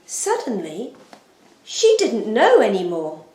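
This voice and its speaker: A woman storyteller giving an expressive, solemn and deliberate narration of a scripted children's Bible story. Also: a little high. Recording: roomy, echoing sound.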